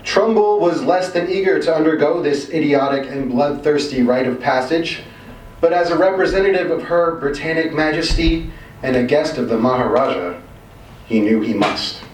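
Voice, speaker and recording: A man reading a short story aloud expressively, with wry, measured and emphatic delivery. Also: on the high side. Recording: roomy, distant mic, faint background noise.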